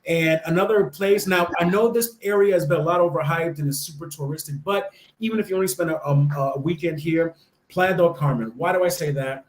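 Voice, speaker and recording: A man's voice: a little high, brisk. An American man in his young adult years, chatting enthusiastically as an interview guest, with lots of fillers and restarts. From United States. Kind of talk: casual interview chat. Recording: echoey room.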